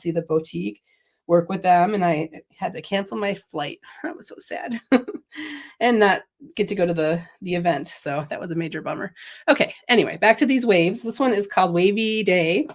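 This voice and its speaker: Middle-aged woman, lowish voice, chatty craft host, slightly sniffly from a cold. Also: fairly quick. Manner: chatty, laughs often, reads viewer comments. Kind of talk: casual product demo chat.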